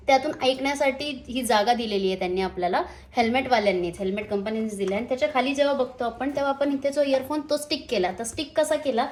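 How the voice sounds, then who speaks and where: A woman speaks in a somewhat high-pitched voice. She presents a hands-on product demonstration in an informal vlog style. Her explanation is a little hesitant and sprinkled with English words. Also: darkish tone. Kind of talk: casual unboxing and installation demonstration.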